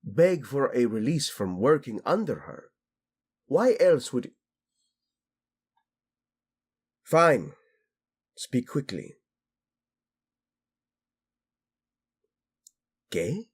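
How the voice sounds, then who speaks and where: Courtly prince, male voice actor: slow, husky, imperious yet teasing, romantic audio drama over music. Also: mid-range voice, very animated, a little mellow, exaggerated voice. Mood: impatient, commanding. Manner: formal, deliberate speech sprinkled with Spanish. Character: haughty, teasing Spanish-speaking fantasy prince, courtly and imperious. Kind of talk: scripted romantic audio roleplay.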